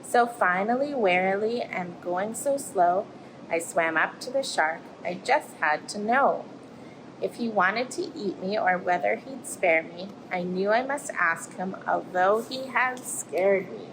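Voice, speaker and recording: A woman voicing a friendly, plucky little octopus in an expressive rhyming picture-book read-aloud. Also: medium pitch, measured pace, close to an everyday voice. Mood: anxious, suspenseful. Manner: expressive storytelling. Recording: clear background noise, aquarium room ambience.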